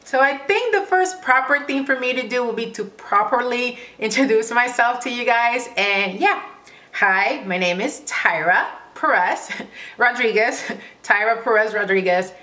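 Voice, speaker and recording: Bright-voiced woman with a Spanish accent. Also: a little high, measured pace. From Panama. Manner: chatty, laughs often, many fillers. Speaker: vlogger host. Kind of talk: casual Q and A chat to camera.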